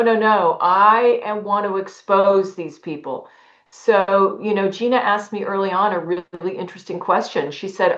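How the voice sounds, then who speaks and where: A woman actress being interviewed on a video call, talking casually in an emphatic, expressive way. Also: medium pitch. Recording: roomy, slightly dull video-call audio.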